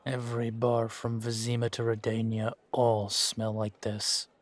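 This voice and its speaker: Here is a man acting out a weary monster hunter from a fantasy audio drama. He talks slowly and sparely at a middling male pitch, with very little rise or fall. His manner is gruff, dry and aloof, deadpan even when he jokes. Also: natural voice. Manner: deadpan, unhurried, laconic. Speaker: voice actor in fan-made audio roleplay. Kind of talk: slow in-character audio roleplay.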